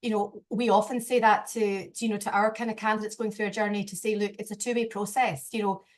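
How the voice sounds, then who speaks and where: A woman's voice: mid-range voice, little pitch movement, fast talker. A woman hosting a conversational interview, talking in an agreeable, discursive way. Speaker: interviewer and recruiter.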